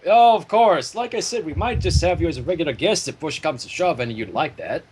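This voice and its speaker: High-pitched, animated man reading aloud. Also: fairly quick, breathy edge. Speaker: livestream co-host and story reader. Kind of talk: animated fan fiction reading with casual chat.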